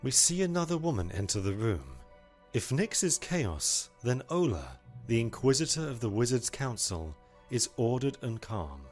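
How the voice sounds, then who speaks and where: A man's voice: mid-range voice, sing-song, normal speed, a little mellow, soft background music. A man giving scripted narration for a visual novel story.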